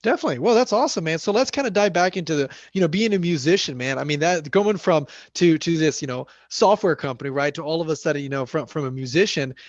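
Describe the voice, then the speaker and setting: A man's voice: fairly high pitch, animated, fast talker, few pauses, no echo, muffled recording. A man hosting a podcast, chatting in a hyped, buddy-style way and calling his guest "man" and "bud.". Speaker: podcast host. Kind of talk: casual podcast interviewing.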